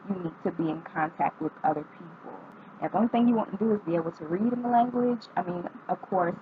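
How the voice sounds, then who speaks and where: A woman's voice: fairly low pitch, phone-quality sound, slight background noise. A woman in her twenties from North Carolina, vlogging casually as she reads out a list of questions and answers each one with frequent ums. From North Carolina, United States. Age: 20s.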